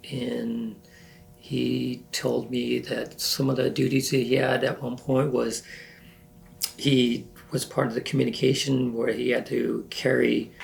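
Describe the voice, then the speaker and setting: Gravelly older man reminiscing haltingly. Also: medium pitch, level tone. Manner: halting, self-correcting, many fillers. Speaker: oral history interviewee. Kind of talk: reflective recollection.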